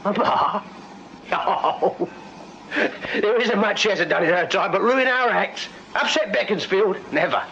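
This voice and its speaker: A man's voice: high voice, animated, unhurried, vocal fry, bright, forceful tone, lo-fi sound, a little hiss or hum, full character voice. An actor playing a meek, earnest music-hall strongman and dutiful son, with an English accent, in a radio drama. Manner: apologetic, earnest, plaintive. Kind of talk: full-cast radio drama acting.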